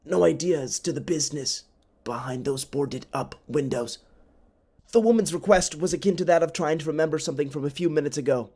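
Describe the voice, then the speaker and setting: A man's voice: a little high, brisk, mellow. A man narrating a scripted tale for a puppet show in a theatrical, solemn, literary manner.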